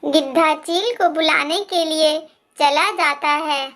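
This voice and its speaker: A woman narrating a scripted children's animated moral story. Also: sits high, takes it slowly.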